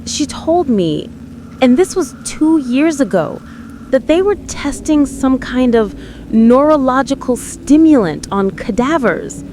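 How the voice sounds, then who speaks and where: A woman playing an earnest, fearful conspiracy-believing radio caller with an American accent, halting and insistent. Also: high voice, expressive, warm tone, a little hiss or hum. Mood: uneasy, urgent. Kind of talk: dramatised radio call-in testimony.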